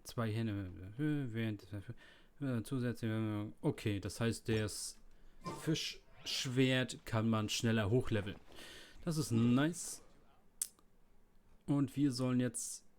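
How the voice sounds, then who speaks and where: A man's voice: mid-range voice, takes it slowly, darkish tone, a little hiss or hum. A man giving casual, laid-back let's play commentary, thinking aloud as he goes.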